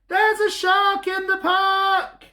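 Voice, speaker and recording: A man voicing an excited little boy who shouts in alarm while reading a picture book aloud. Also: extremely high pitch, slow pace, voice pushed up, exaggerated voice.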